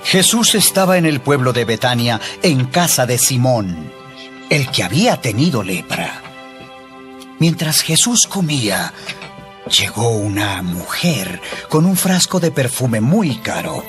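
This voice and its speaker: A man narrating a dramatized Bible reading with expressive storytelling. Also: medium pitch, unhurried. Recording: music bed throughout.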